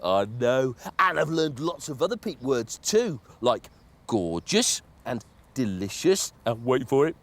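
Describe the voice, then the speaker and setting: A woman voicing a cheerful, excitable little creature in a children's puppet show, full of giggles. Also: very deep voice, measured pace, slight background noise, full character voice. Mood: chatty.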